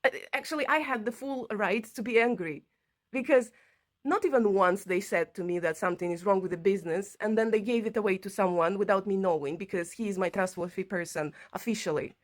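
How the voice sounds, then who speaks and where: A woman's voice: mid-range voice, a little fast. Woman telling her personal story. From Latvia. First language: not English. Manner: earnest, non-native phrasing. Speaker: vlogger telling own story. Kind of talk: personal account to camera, conversational.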